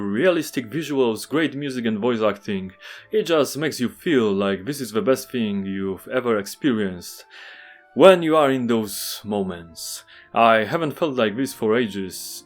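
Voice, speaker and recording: A man with a Polish accent, a native Polish speaker, reading a scripted game review in an earnest, measured way with wry asides. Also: medium pitch.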